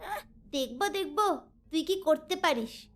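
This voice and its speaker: A woman's voice: very high-pitched, animated, takes it slowly, exaggerated voice. A woman voicing a spiteful young second wife who returns as a luring ghost, with a taunting, eerie manner.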